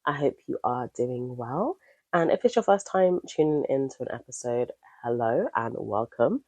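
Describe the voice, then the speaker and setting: A woman's voice: a bass voice, expressive, normal speed, darkish tone, dry recording. A woman in her thirties hosting her own podcast, talking in a chatty, candid and upbeat way while nasal from a cold. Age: 30s. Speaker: podcast host. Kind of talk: casual solo podcast chat.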